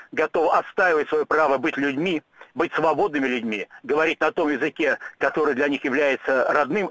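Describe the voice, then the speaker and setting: A man answering questions in a radio interview, speaking in firm, emphatic statements broken by frequent "uh" pauses. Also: fairly high pitch, fairly bright tone, no echo, low-quality recording.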